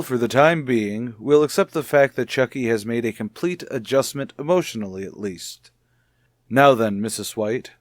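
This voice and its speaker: Actor as a measured, probing male doctor, dry sound. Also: medium pitch, no room sound, lightly acted. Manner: measured, deliberate, clinical questioning. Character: calm, probing, formal male child psychologist. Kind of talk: vintage radio soap opera acting.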